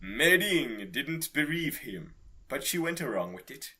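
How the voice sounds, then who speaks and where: A man's voice: medium pitch, sing-song, unhurried, pushed and bright, slightly rough. A man co-hosting a podcast, reading comic fan fiction with giggly, irreverent banter. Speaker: podcast co-host.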